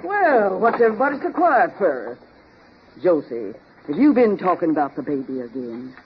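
Bustling, guarded older farm mother, folksy and animated, noisy vintage radio. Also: fairly low pitch, normal speed. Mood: scolding. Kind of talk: 1930s radio drama acting. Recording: muffled, very noisy 1930s radio recording.

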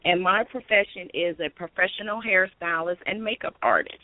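A woman's voice: fairly low pitch, normal speed, no echo. Woman on a dry, thin phone line. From Los Angeles, California, United States. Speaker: panelist, hairstylist. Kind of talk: casual self-introduction on talk show. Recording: dry narrow phone-like sound.

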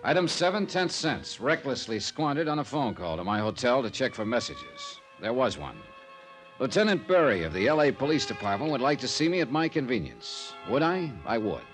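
Radio detective lead , played by a man: American, wry, tough, clipped, widely animated delivery over music. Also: mid-range voice, normal speed, close to an everyday voice. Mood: brisk, wry. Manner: wry, clipped, hard-boiled. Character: wry, tough American freelance insurance investigator. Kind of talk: 1950s radio detective acting and narration.

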